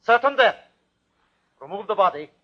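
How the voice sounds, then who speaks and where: A ruthless German army captain in an old radio play. He declaims and commands in clipped, fanatical style. The recording is dull and low in fidelity, as vintage broadcasts are. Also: animated, moderate pace. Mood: cold, commanding. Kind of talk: old-time radio drama acting.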